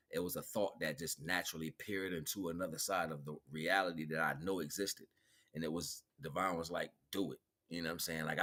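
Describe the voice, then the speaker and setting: A man's voice: deep voice, fairly bright tone. An American man chatting casually on a livestream as a musician, slangy and laid-back. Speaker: streamer and musician presenting own song.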